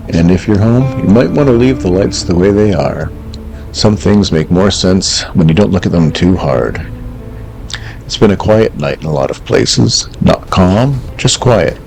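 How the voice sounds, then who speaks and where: A man playing a calm, wry host of an eerie late-night radio call-in show, speaking in a measured, reflective, understated way. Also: low voice, vocal fry, low-quality recording. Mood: hushed, ominous.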